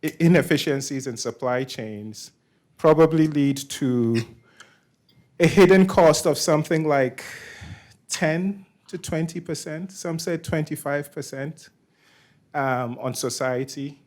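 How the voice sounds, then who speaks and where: Halting male meetup speaker from Nigeria. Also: a little high, darkish tone. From Nigeria, West Africa. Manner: halting, repeats words and restarts often. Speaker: meetup organizer and host. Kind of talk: informal presentation to a meetup.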